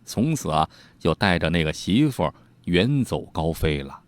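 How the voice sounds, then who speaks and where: Male storyteller, colloquial and animated, teasing asides, very dry close sound. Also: low-pitched, measured pace, no echo. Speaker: storyteller, host. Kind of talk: informal storytelling narration of old ghost tales.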